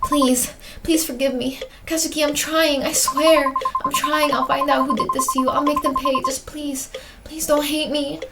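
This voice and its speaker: A woman's voice: very high-pitched, little pitch movement, extremely slow, long pauses, very noisy, pitched above the performer's own voice, exaggerated voice. A woman voicing a terrified, sickened horror game protagonist, speaking in panicky, repetitive bursts with gasps. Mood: desperate pleading.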